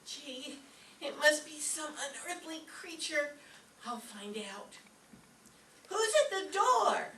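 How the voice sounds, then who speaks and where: A woman's voice: sits high, very animated, takes it slowly, pauses often, bright, forceful tone, heavily acted. A woman playing a naive, timid, dim-witted young woman in an old-time radio comedy, speaking in a guileless way. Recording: echoey stage, distant mic, faint noise.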